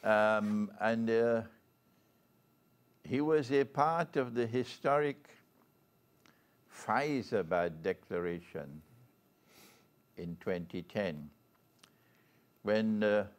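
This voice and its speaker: An older man from Trinidad and Tobago with a Trinidadian accent and a fairly low voice. He speaks as a talk show host addressing a guest and viewers. His delivery is formal and deliberate, with measured phrasing. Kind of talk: formal guest introduction and interview.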